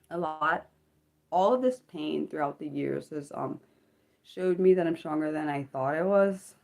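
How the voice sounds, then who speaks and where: Thirtysomething woman on a livestream at home, kinda sick sounding, low voice, lots of ums and sorrys. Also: a little mellow. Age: 30s. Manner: halting, many ums and apologetic self-corrections. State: slightly sick voice. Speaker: livestream host. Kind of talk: personal reflective livestream talk.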